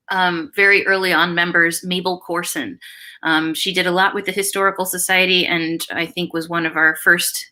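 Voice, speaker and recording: A woman's voice: medium pitch, sharp tone. A woman hosting an online lecture, introducing it in an informal, chatty and hesitant way.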